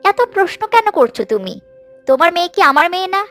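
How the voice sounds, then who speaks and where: A woman's voice: very high voice, very animated, quick pace, faint music behind, exaggerated voice. A woman playing a greedy, scheming cartoon stepmother who grumbles, scolds and bosses people in a melodramatic style. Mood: scolding, domineering. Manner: sharp-tongued, grumbling, domineering. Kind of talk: melodramatic Bengali cartoon dubbing.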